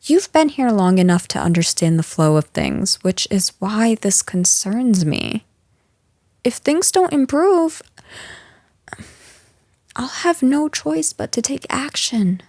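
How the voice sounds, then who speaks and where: A woman playing a stern, frustrated office boss in a scripted audio roleplay, speaking to an employee in a firm, measured, exasperated way. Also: fairly high pitch, very animated, darkish tone, dry recording, studio quality, exaggerated voice. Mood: serious, disappointed. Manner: firm, exasperated, measured managerial delivery with sighs.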